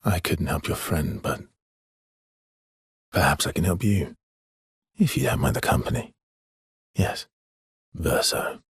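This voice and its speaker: An actor playing a weary, gentle, guilt-ridden expedition guide in a dramatic video game, with a husky, breathy, hushed and halting delivery. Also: male voice, rumbling low, animated, no echo, clean studio sound, heavily acted. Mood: gentle, somber. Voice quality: husky, breathy, often near a whisper.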